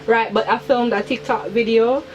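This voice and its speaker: A woman's voice: on the high side, steady tone, normal speed, highs cut off. A young adult woman hosting a chatty video for her viewers, with a hyper, giggly manner and emphatic repetition of words. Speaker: video channel host. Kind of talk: chatty personal commentary to viewers.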